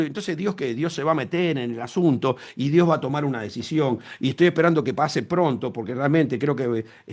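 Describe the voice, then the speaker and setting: A man preaching a sermon as pastor, emphatic, repeating phrases and asking rhetorical questions. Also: fairly high pitch.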